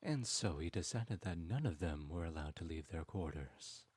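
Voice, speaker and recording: A man voicing a sly, smooth-talking male trickster god in an audio roleplay, speaking in a silky, teasing and persuasive way. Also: deep voice, lively intonation, normal speed, a little mellow, no echo. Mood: scheming.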